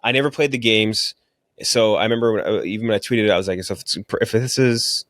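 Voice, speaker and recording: A man with a fairly low voice speaks as a podcast host in casual review chat. His manner is informal and blunt, and the sound is dry, with very little room on it. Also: fast, fairly bright tone, dry recording.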